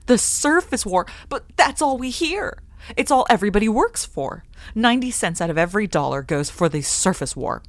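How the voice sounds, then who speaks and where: An actress playing an earnest, disillusioned grown daughter who questions things, in an old-time radio drama. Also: female voice, mid-range voice, sing-song, moderate pace, no room sound. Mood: angry, exasperated.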